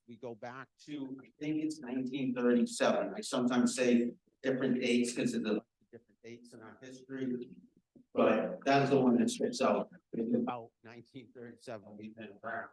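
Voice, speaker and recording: Guy w a deep booming voice doing event welcomes, chatty, no mic, average pitch, echoey room. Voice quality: deep voice that carries. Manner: loud, chatty, speaking without a microphone. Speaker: association chapter president opening an event. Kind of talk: welcoming remarks at a live event. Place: event room at a nonprofit center. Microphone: no microphone, recorded from the room.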